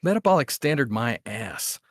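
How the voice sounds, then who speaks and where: A man's voice: medium pitch, big pitch swings, moderate pace, no echo, studio quality. A man playing a grumpy, sarcastic, caffeine-starved agent who swears freely, in an audio drama table read. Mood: exasperated grumbling. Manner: exasperated, sarcastic. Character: grumpy caffeine-deprived male agent, intensely focused, sweary.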